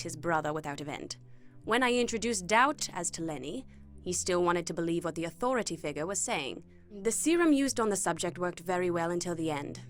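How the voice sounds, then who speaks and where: An actress playing a cool, businesslike researcher in an audio drama, speaking in a clinical, matter-of-fact way. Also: female voice, lowish voice, natural voice. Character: cool, businesslike female researcher running human trials. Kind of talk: binaural audio drama acting.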